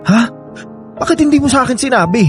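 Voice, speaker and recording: A man's voice: extremely high pitch, animated, quick pace, over music. A Filipino man playing a remorseful, pleading ex-boyfriend in a radio drama, earnest in delivery. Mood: shocked.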